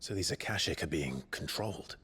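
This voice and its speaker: An actor playing a grave, resolute warrior leader in a fantasy game, speaking in a terse, decisive, low-key way. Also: male voice, animated, a little fast, a bit bright, husky, full character voice. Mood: grave, restrained. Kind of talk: cinematic game dialogue.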